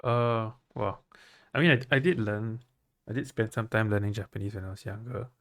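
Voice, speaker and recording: A man chatting casually as a podcast host, sounding quiet and a bit away from the mic. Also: lowish voice, normal speed, no room sound. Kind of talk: casual chat.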